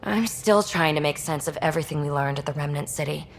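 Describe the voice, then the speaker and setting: Curious explorer, faint rumble behind. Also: female voice, quick pace. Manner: conversational, inquisitive. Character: curious, upbeat female science-minded space explorer. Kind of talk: video game dialogue acting. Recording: faint rumbling background noise.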